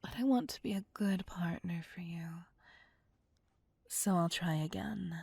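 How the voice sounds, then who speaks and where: A woman voicing a possessive, vain vampire mistress in an ASMR roleplay, breathy and often whispering, with condescending endearments. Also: fairly low pitch, mellow, no room sound, exaggerated voice. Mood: coaxing. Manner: slow, deliberate, condescending endearments. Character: possessive, vain female vampire, commanding and seductive. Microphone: close, dry microphone.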